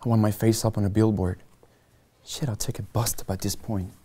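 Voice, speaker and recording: Needs a man playing a lower-voiced, anxious middle-aged realtor who talks himself down. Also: little pitch movement, fairly quick, dark tone, husky. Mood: confessional. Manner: earnest, hesitant, self-mocking. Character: insecure middle-aged male realtor, self-deprecating. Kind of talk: table read of a screenplay.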